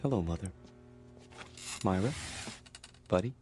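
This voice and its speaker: An actor playing an earnest, vulnerable psychiatric patient in a radio drama, with a mild, hesitant manner. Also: male voice, deep voice, animated, measured pace, mellow, faint music behind. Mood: calm, reassured.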